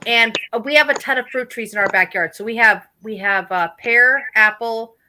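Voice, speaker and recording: A woman with a high, bright, ringing voice talks in a relaxed group chat. She is blunt and animated, and she swears freely as she goes. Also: moderate pace. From Oregon.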